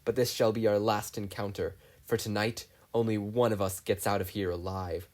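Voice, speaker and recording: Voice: low-pitched, level tone, moderate pace, a little mellow, close to an everyday voice. A performer voicing a theatrically heroic woman sea captain in a dramatic, declamatory manner. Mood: ominous.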